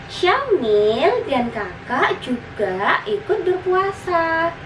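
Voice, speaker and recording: Woman as a high-pitched, caring big sister. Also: big pitch swings, drawn-out words, full character voice. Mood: patient, explaining. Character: caring big sister, young girl, patient and encouraging. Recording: roomy, distant, noisy recording.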